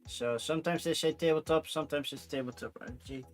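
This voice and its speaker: A Dutch-accented man hosting his own channel with a somewhat high voice. He chats casually and rambles, with fillers and quick self-corrections. Faint music plays underneath. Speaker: channel host. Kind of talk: casual solo chat to camera.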